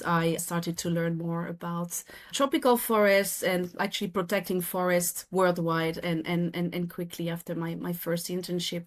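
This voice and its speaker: A woman from France with a French accent, in her thirties, earnestly explaining her work as a podcast guest, often restarting her phrases. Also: lowish voice. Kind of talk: podcast interview.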